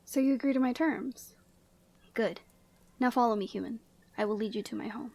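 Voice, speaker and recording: A woman playing a proud, commanding yeti woman in a scripted ASMR roleplay, speaking in an imperious, measured and formal way. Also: fairly high pitch, gravelly, a little mellow. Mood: stern, bargaining.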